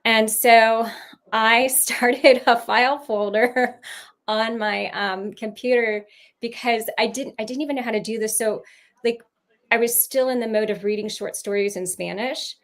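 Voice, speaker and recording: A woman's voice: a little high, fairly even intonation, a little slow. Woman author, former teacher; rambling casual talk with frequent ums and laughs, heard over a video call. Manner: rambling, lots of ums, laughs mid-sentence. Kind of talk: casual livestream chat.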